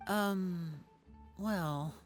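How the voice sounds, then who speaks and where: A woman's voice: fairly low pitch, drawn-out words, loud music behind the voice. A woman voicing a polite, obliging young male stage magician in a video game, with a courteous, slightly theatrical delivery. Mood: hesitant.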